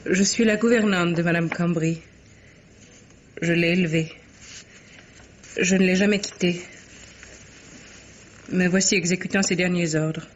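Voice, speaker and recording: Flat, stern old governess. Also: male voice, high voice, measured pace, long pauses. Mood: solemn. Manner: solemn, terse, commanding. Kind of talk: 1950s French radio mystery acting. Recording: muffled, noisy old radio recording.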